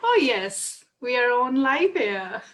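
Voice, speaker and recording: A woman's voice: a little high, sing-song, slow pace, slight background noise. A woman hosting a casual spiritual chat on a live video call from home, effusive and laughing often. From Australia. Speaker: host.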